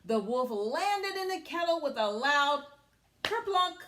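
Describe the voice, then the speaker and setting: Female storybook reader for children, emphatic and dramatic, very animated pitch, unhurried pace. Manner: emphatic, expressive. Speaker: teacher reading aloud.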